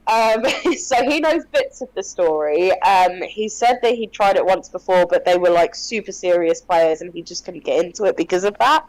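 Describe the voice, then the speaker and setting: A woman's voice: fairly low pitch, lively intonation, moderate pace, few pauses, darkish tone, dry recording, low-quality recording. A woman player chatting casually with a cold, rambling and full of ums. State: stuffy with a cold, sniffling. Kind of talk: casual chat between game sessions.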